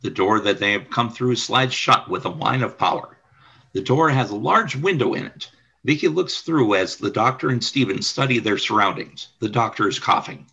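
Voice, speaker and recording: A man's voice: mid-range voice, expressive, moderate pace, gravelly, pushed and bright, breathy edge, lo-fi sound. A man reading scripted narration for a radio play, describing scenes and action.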